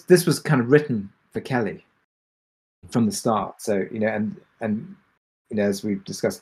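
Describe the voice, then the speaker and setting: Low-voiced man, slightly husky, reflective. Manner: halting, reflective, many pauses. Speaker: audio drama creator and producer. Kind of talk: Q&A panel discussion.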